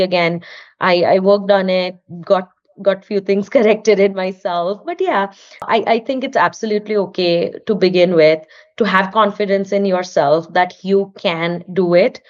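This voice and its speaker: A woman's voice: mid-range voice, darkish tone. Earnest Indian-accented woman, muffled sound. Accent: Indian English. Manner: earnest, encouraging, with frequent restarts. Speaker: podcast guest, artist and studio owner. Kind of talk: casual interview talk.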